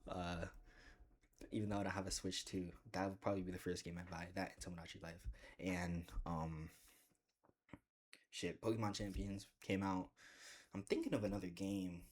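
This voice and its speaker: A man with a deep voice chatting casually in a gaming vlog, rambling and joking with bursts of excitement. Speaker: gaming video creator.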